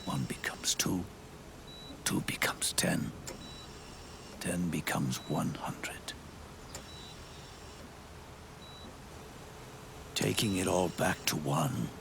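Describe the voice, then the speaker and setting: Gravelly, deep old soldier. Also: male voice, noisy background, full character voice. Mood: weary, regretful. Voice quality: gravelly, breathy rasp. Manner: grave, deliberate, with weighty pauses. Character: old, world-weary soldier, solemn, reflective and regretful. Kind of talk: dramatic video game monologue. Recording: music under the voice. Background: cinematic music.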